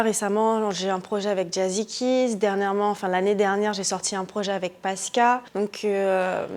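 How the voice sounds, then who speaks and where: A woman's voice: medium pitch, darkish tone. A woman in her twenties from Guadeloupe and French Guiana, a singer answering interview questions in a casual, hesitant way with many fillers. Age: 20s.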